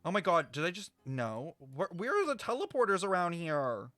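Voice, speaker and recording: A man's voice: high voice, very animated, moderate pace, bright, forceful tone, dry recording. A young man livestreaming, chatting casually and expressively with his viewers as he reacts to a game, in slangy speech. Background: game music.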